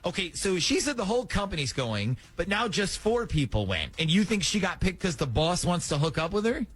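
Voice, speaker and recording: Animated higher-pitched man hosting morning radio. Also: a little fast. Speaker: morning radio show host. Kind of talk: radio prank call segment banter.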